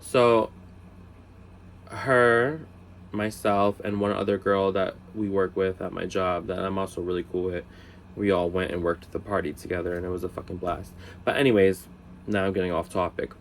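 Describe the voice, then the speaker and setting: A man's voice: flat delivery, normal speed, a little hiss or hum. Chatty young man telling a story. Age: 20s. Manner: chatty, swearing, lots of "like" fillers; mimics others in high voices. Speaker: storyteller vlogger. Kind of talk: casual storytime chat.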